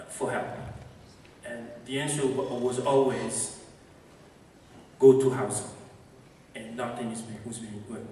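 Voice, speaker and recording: A man's voice: mid-range voice, slight background noise. A local man giving impassioned public testimony as a resident and advocate at a city council meeting. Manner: impassioned, rising to emphatic appeals. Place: large council chamber indoors. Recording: echoey council chamber from far off.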